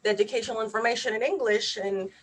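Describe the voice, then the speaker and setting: A woman's voice: a little high, steady tone, fast talker, husky, low-quality recording. A woman in her thirties from Puerto Rico whose first language is Spanish, a physician giving an earnest, conversational webinar talk over a video call, with frequent fillers. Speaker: physician panelist. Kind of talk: webinar presentation.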